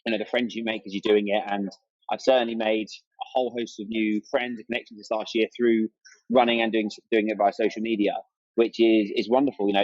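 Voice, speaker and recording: A man talks at a quick clip in a casual, enthusiastic podcast style. His pitch is average for a man. The sound is dry and close, with a slightly muffled, band-limited quality. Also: darkish tone, dry recording. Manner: chatty and enthusiastic, acts out remembered exchanges. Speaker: interview guest, amateur runner and content creator.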